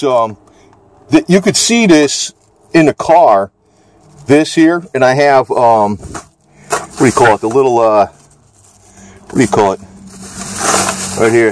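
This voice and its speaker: A man's voice: mid-range voice, a little hiss or hum. A man giving a casual, off-the-cuff product demonstration, talking in a rambling, hesitant, folksy way. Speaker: gadget reviewer.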